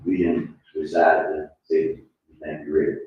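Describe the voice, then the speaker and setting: A man speaking as a panel guest, giving halting, repetitive personal testimony. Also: rumbling low, completely flat delivery, dull audio. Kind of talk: personal testimony at live event. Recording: echoey room, distant mic, background noise.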